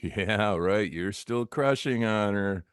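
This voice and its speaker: A man's voice: fairly low pitch, slow, clean tone, no room sound, studio quality. A man reading the part of a cocky, impatient reality show contestant at a script table read, blunt and teasing.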